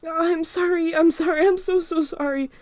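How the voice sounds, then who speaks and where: A woman's voice: very high-pitched, steady tone, moderate pace, darkish tone, no room sound, voice pushed up. A woman reading a story, voicing a frightened teenage girl who stammers out apologies while crying. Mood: panicked, pleading. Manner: stammering, pleading.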